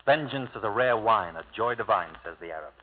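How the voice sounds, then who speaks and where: An actor playing a bitter, brooding Italian-American ex-convict in a clipped, hard-boiled 1950s radio drama style. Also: male voice, fairly low pitch, animated, fairly quick. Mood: bitter, guarded. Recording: old tinny radio broadcast, music behind.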